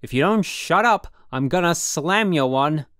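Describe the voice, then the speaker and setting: A man's voice: mid-range voice, measured pace, dry recording, studio quality, natural voice. A man doing a hulking, hot-tempered young roommate. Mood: angry shouting. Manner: shouting threats, heated. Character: hulking angry young male roommate, bossy.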